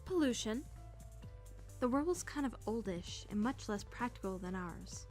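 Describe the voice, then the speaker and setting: A woman's voice: on the high side, expressive, a little slow, darkish tone. A woman playing a sarcastic, easily flustered teenage girl trainee in a visual novel dub, narrating her thoughts and stammering when nervous. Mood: earnest. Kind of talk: first-person visual novel narration and dialogue. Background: music.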